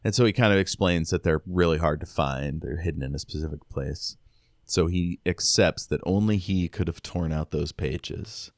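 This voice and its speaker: Deep-voiced man chatting about books. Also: moderate pace, no room sound, studio quality. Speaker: podcast co-host. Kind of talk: casual book discussion with chapter recaps.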